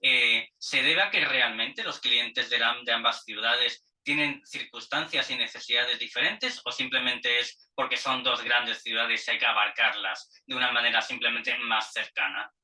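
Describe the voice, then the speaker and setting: A man with a Spanish accent from Spain interviewing in long, discursive questions, hesitant and deliberate, often repeating words. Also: mid-range voice, steady tone, bright, forceful tone, far from the mic, low-quality recording.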